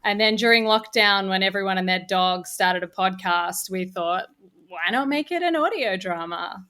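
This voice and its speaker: A woman's voice: medium pitch, normal speed, sharp tone. A woman telling stories casually as an interview guest. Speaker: podcast guest, audio drama co-creator. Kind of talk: casual chat, storytelling.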